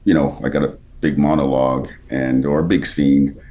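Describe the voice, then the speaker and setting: A man with an extremely low voice and a rich, bass-heavy texture talks casually as an interview guest. He stops and restarts often, trailing off quieter mid-thought. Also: flat delivery. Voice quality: deep, textured timbre with underlying bass. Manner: halting, many restarts and fillers, drops quieter mid-thought. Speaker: actor and voice actor guest.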